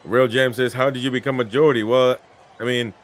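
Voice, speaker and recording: A man's voice: medium pitch, fairly even intonation, a little fast. A man from Peru hosting a casual live football stream, chatting about the match and reading viewer comments aloud.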